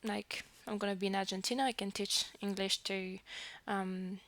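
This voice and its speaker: A young woman from New Caledonia talks to her camera in a casual, rambling way, her colouring her speech. Her voice has a gravelly edge, picked up close on a headset mic in a dry, dead room. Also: medium pitch, little pitch movement, normal speed, fairly bright tone, no echo. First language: French. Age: 20s. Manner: chatty, frequent fillers and restarts. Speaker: vlogger. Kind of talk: casual self-introduction to camera.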